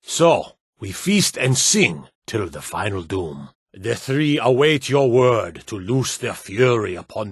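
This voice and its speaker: An actor playing a proud, hearty and solemn Nordic warrior in a fantasy video game, speaking grand archaic lines in a declamatory way. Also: male voice, medium pitch, husky, dry recording, clean studio sound, full character voice.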